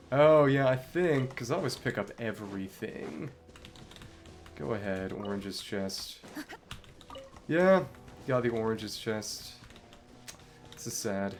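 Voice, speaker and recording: A game streamer chatting casually over gameplay, thinking aloud in a wry way. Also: medium pitch. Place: indoors at a computer.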